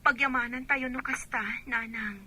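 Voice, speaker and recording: Casting: woman playing anxious radio-drama daughter far from home, high-pitched, sharply bright delivery. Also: fairly even intonation, normal speed, dry recording. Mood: worried, affectionate. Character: worried grown daughter working abroad, phoning her mother. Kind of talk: Ilocano radio drama acting.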